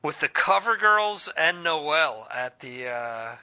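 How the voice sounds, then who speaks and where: A man's voice: a little high, expressive, slow pace, sharp tone, clear, resonant tone. A man in his forties chatting casually on a podcast, loose and profane, laughing often. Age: 40s. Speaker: podcast host. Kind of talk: casual chat. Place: lives in Spain.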